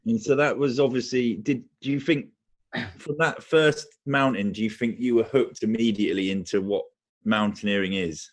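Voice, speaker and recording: A man's voice: medium pitch, normal speed. A man hosting a casual podcast interview, asking questions in a matey, informal way. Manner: matey, calls his guest "mate". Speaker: podcast host.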